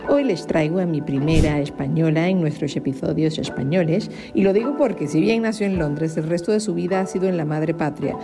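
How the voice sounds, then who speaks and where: A woman host gives a casual voice-over introduction, her voice a little low for a woman. Clear music plays underneath her as she speaks in an informal, self-mocking tone. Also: fairly quick, few pauses. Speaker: podcast host.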